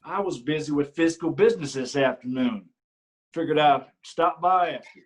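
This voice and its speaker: A man reads a middle-aged country sheriff with a drawl, pitched a little above the usual for a man. He needles the people he questions in a falsely friendly way, and he sounds pleased with himself. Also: normal speed, close to an everyday voice. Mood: smug. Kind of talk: staged play reading.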